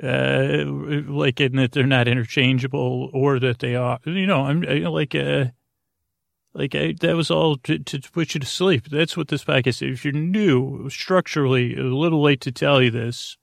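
Guy w a creaky gravelly voice rambling thru a bedtime monologue, halting and scatterbrained, super dry close sound. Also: mid-range voice, steady tone, no room sound. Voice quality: gravelly, rusty vocal tone. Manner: meandering, halting, self-interrupting, absent-minded. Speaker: podcast host.

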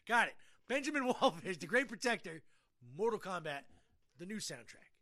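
Man, high pitch, bright forceful tone, jokey, profane, rambling podcast host. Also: sing-song, normal speed. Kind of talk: casual podcast banter.

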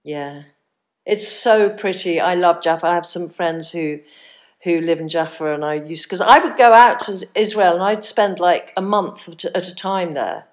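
A middle-aged woman hosting an interview podcast, talking directly and chattily and letting her thoughts trail off quietly at the end. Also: on the low side, moderate pace. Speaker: podcast host. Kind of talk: casual interview chat.

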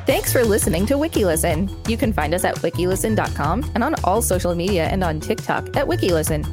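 A woman with a fairly low voice co-hosts a podcast. She alternates between reading articles and casual chat. Soft music sits behind her. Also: very animated, fast talker. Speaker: podcast co-host.

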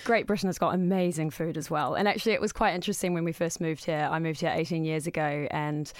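A woman's voice: sits low, fairly even intonation, quick pace, no room sound. A woman in her forties from New Zealand with a New Zealand accent, a podcast guest telling her story in an enthusiastic, rambling way. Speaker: guest restaurateur. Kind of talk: relaxed conversational storytelling.

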